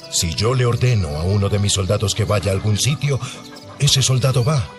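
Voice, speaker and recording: A man plays a Roman soldier of rank, a male captain with a lowish voice. He is earnest and deferential in this audio Bible drama. Music plays clearly behind him. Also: brisk, lightly acted. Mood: humble, earnest. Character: humble, earnest Roman army captain pleading respectfully.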